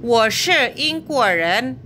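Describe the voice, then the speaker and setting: A woman gives a Mandarin lesson at a very unhurried pace, stretching out each model word before she explains it. Her voice sits a little high and sounds bright and clear, and she now and then stops to correct herself. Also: big pitch swings, a little hiss or hum. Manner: slow, drawn-out model pronunciation, self-correcting. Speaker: Mandarin teacher. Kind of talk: language lesson explaining vocabulary.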